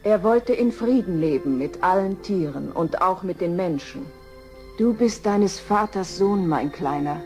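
A woman's voice: fairly low pitch, animated, unhurried, darkish tone. Tender lioness mother, music behind. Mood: tender, solemn. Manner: tender, solemn, instructing. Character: gentle, brave lioness mother, tender and firm. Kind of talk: German children's radio play.

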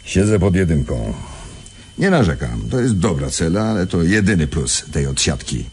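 A man's voice: low-pitched, sing-song, moderate pace, background noise. An actor playing an imperious, irritable jailed crime boss in a radio drama, commanding and contemptuous as he gives orders. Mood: brooding, wary. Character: imperious, irritable male crime boss serving time in prison.

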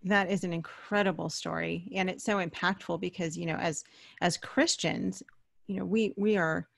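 Gravelly-edged middle-aged woman hosting an interview. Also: on the low side, steady tone, normal speed, dry recording, clean studio sound. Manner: earnest, affirming. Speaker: interview host. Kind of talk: conversational interview. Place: indoor home-style studio. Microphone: large desk microphone, close.

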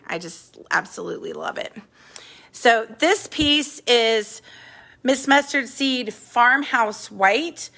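A bright, fairly high-pitched woman in middle age walks through her apartment and chats about her things as she films on a phone. She talks in a loose, enthusiastic way, full of fillers and asides. She sounds like a vintage shop owner showing friends around her home. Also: vocal fry. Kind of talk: casual home tour on a live stream. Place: indoor apartment living room. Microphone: handheld phone filming the room.

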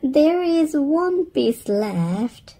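A woman's voice: high-pitched, big pitch swings, very slow, warm tone, pitched above the performer's own voice, full character voice. A woman voicing a young, eager, helpful girl elephant in a storybook, with a childlike singsong manner.

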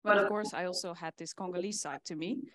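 A young woman from the Netherlands giving a conference talk in an earnest way, often pausing with fillers. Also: lowish voice. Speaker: conference speaker. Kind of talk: presentation talk. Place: conference hall with audience.

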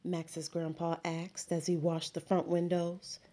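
A woman, a teacher, reading a children's picture book aloud with slow, expressive storytelling. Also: fairly low pitch. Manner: slow, expressive storytelling with sound words and character voices.

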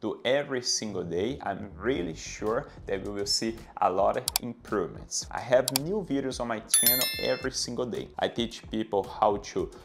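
A man's voice: on the low side, normal speed, gravelly, few pauses, noisy background. A man in his thirties from Brazil with a Brazilian Portuguese accent, a fitness trainer giving exercise instructions to camera and counting reps aloud. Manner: coaching, counts reps aloud, non-native grammar. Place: bright indoor room with anatomy posters. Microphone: clip-on lapel mic.

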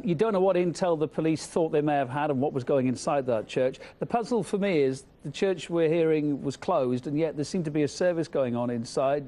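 Mellow-voiced male news anchor. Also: fairly high pitch. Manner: conversational, questioning. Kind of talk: live news interview.

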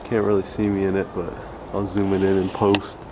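A young man casually narrating a handheld vlog while exploring an abandoned factory, relaxed and slangy, joking with his viewers. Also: sits low, fairly quick, background noise. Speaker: urban explorer vlogger. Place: abandoned factory, large indoor space. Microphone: handheld camera mic.

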